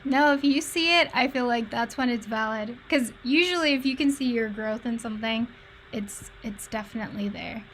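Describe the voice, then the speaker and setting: A woman's voice: fairly high pitch, moderate pace, a bit bright, a little hiss or hum, rough recording. A woman chatting casually and encouragingly over a video call. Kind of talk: casual chat.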